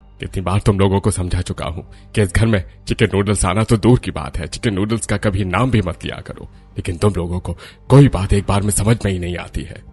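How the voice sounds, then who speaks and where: A man's voice: deep voice, a little fast, darkish tone, husky. A man voicing an angry, strict elderly father in a cartoon story, scolding and threatening. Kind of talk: Hindi cartoon story voice acting.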